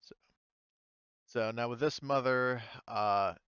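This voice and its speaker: Halting, bright-voiced man running a tabletop game. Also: mid-range voice, clear, resonant tone, no room sound, dull audio. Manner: halting, piecemeal delivery. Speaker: game master. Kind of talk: improvised tabletop game narration.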